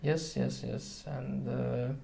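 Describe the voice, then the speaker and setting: A man's voice: mid-range voice, level tone, takes it slowly, vocal fry, warm tone. A man from Hungary with a Hungarian accent, chatting casually as an interview guest in a somewhat hesitant way. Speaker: podcast guest.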